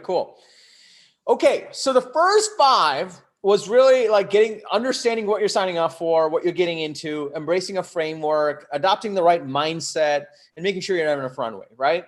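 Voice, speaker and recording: Guy with a slightly high voice doing live coaching, chatty but emphatic, keeps checking you're following. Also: lively intonation, normal speed. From Bangladesh until ten, then the US. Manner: conversational, emphatic, often checks in with rhetorical questions. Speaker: host, startup coach. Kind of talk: live online coaching talk to an audience.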